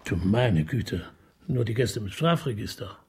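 An actor playing a weary, irritable, cultured police detective chief in a German radio crime comedy, formal and exasperated. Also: male voice, on the low side, big pitch swings, measured pace, creaky, a little hiss or hum, full character voice. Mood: businesslike.

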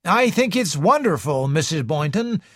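A man voicing a neat, pleasant middle-aged man who speaks slowly and politely in an audiobook reading. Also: on the high side, very animated, fairly bright tone, no echo, studio quality, voice pushed up. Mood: polite, friendly.

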